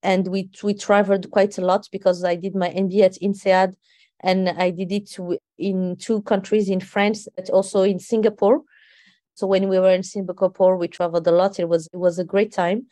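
A woman in her forties from Morocco, a guest telling her life story in a reflective, unhurried way. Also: mid-range voice, little pitch movement, mellow, no echo. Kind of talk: conversational storytelling.

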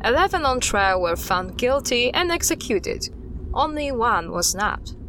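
A woman playing an earnest, guarded expert recounting dark history in an audio drama styled as a recorded interview, with a measured, serious delivery. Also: on the high side, big pitch swings, a little hiss or hum. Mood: grave, measured.

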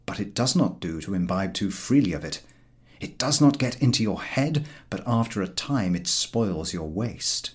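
A man reading a humorous story as an audiobook narrator, in a wry, expressive storytelling manner. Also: lowish voice, normal speed.

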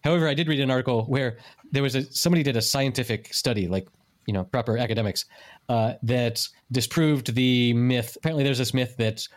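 A man's voice: medium pitch, fairly quick. Male podcast host, casual and hesitant, restarts his sentences a lot. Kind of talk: casual chat.

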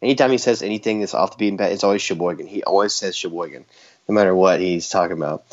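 A man's voice: sits low, fast, lo-fi sound. A man co-hosting a podcast in casual chat, rambling and joking with frequent laughs. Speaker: podcast co-host.

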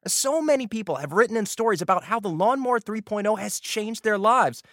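Guy with a high bouncy voice doing a comic ad read, dry close sound. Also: lively intonation, fairly quick, fairly bright tone, dry recording. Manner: playful, jokey delivery. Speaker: ad reader.

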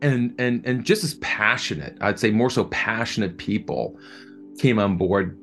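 A man answering interview questions as a producer, speaking thoughtfully and conversationally, often halting and repeating words. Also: lowish voice, a bit bright, soft background music.

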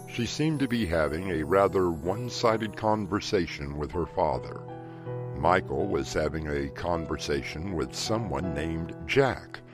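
A male narrator introducing a horror anthology. His very deep voice rises and falls dramatically, and his delivery is slow and deliberate. Clear music plays behind him. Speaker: narrator and host.

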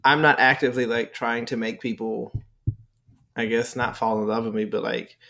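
A man's voice: mid-range voice, fairly even intonation, normal speed, sharp tone. A man talking in a casual podcast conversation, with a voice that sits in the middle of the range for a man. He comes across as blunt and dry, shrugging things off. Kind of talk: casual chat.

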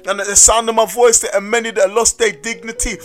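Impassioned high-voiced preacher man. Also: little pitch movement, fast talker, faint music behind. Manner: impassioned, sing-song preaching cadence; repeats "listen to me". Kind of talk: preaching sermon.

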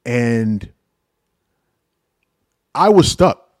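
A man's voice: mid-range voice, expressive, extremely slow, a little mellow, dry recording. Dry-voiced man in his forties hosting. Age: 40s. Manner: playful, profane mock outrage. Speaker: podcast host. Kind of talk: casual podcast chat. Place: at home.

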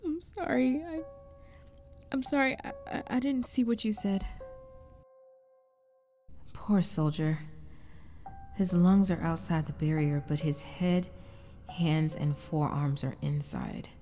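Woman playing compassionate wife, audio drama, lowish pitch, warm dark tone, hushed, halting, stammering, shaken. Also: animated. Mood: hushed disbelief, then distressed sympathy. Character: shaken, compassionate wife trapped in frozen time. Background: background music.

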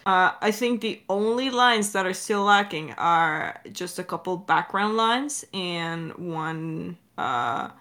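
A woman co-hosting a podcast in a casual Q&A chat, talking in an animated, informal, rambling way. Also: lowish voice, slow, few pauses. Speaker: podcast co-host and audio drama director.

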